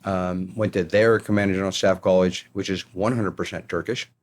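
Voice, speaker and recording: A man's voice: sits low, fairly even intonation, fairly quick. A middle-aged American man telling stories from his career as a guest in a conversational interview. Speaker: podcast guest, retired army colonel.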